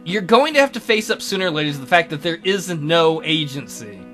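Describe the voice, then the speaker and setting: Man voicing an exasperated tutor, lively and higher-pitched. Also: fairly quick, soft background music. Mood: weary, skeptical. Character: exasperated, awkward young male tutor in a visual novel.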